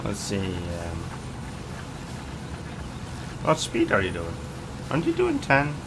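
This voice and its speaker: A man's voice: on the low side, huge pitch swings, slow, noisy background. A man from Iceland, chatting casually as a game streamer and reading viewer comments aloud, with hesitant fillers. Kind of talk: casual live-stream chat.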